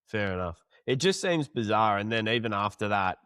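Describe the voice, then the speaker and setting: A man's voice: fairly low pitch, expressive, normal speed, fairly bright tone, clean tone, no echo, studio quality. A male podcast host asking long, rambling questions in a casual interview.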